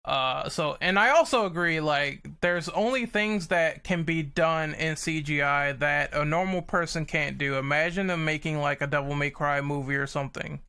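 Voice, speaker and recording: Bright, crisp-voiced man chatting casually. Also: on the high side, moderate pace, hardly any pauses. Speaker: podcast panelist. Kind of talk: casual group discussion.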